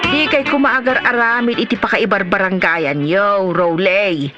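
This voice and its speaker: A woman's voice: mid-range voice, unhurried, dull audio, loud music behind the voice, close to an everyday voice. A woman with an Ilocano Filipino accent playing a feisty, gossipy, scolding village woman in a radio comedy drama. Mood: scolding, indignant.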